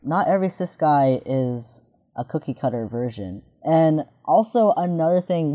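A man in his twenties with a deep voice, speaking candidly in a casual discussion and thinking aloud with restarts. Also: dark tone. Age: 20s.

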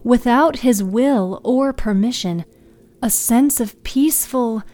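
A woman narrating scripted fiction in a hushed, deliberate manner. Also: mid-range voice, no echo. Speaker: podcast writer and narrator.

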